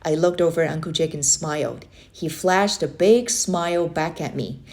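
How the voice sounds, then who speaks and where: A woman narrating a children's book aloud in an expressive storytelling style. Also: sits low, moderate pace. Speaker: storybook reader.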